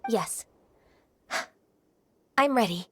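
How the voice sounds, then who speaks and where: High, earnest young game heroine. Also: female voice, expressive, fairly bright tone, slight background noise, exaggerated voice. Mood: apologetic, hesitant. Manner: polite, measured, earnest. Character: gentle, earnest, dutiful half-human young woman in training. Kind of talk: video game voice acting. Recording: game music behind.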